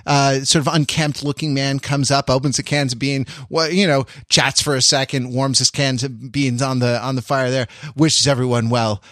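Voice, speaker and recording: A man hosting a podcast, telling anecdotes casually in a halting, wry, rambling way. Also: medium pitch, fairly even intonation, a bit bright, no echo, clean studio sound. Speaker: podcast host.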